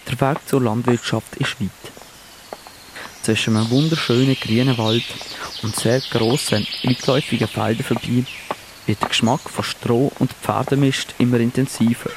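A man's voice: mid-range voice, noisy background. A man narrating a first-person radio report in a descriptive, reflective manner. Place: outdoors on a farm. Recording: clear outdoor birdsong behind.

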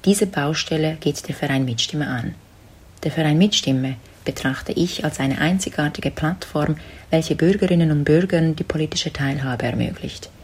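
A woman's voice: deep voice, normal speed, a little mellow, slightly rough. A woman from Zurich, reading a personal statement in an earnest, articulate way. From Zurich, Switzerland. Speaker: community member.

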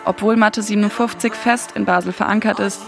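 A woman radio reporter, reading a scripted news feature in a clear, steady way. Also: mid-range voice, fairly quick, over music.

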